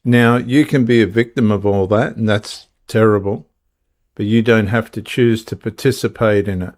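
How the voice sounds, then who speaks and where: A man's voice: lowish voice, fairly even intonation, normal speed. A man hosting the show, reading a scripted introduction and then cutting in with argumentative commentary. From Australia. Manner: argumentative, interrupts guest. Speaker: host.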